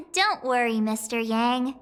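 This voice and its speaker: A woman's voice: fairly high pitch, expressive, slow pace, a bit bright. A woman voicing a bubbly, scatterbrained, chatty teenage girl in a video game. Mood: perky.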